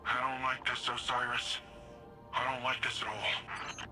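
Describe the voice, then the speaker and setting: An actor playing an earnest, uneasy young hero in a video game drama, speaking plainly and hesitantly. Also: male voice, mid-range voice, level tone, a little slow, close to an everyday voice. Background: music.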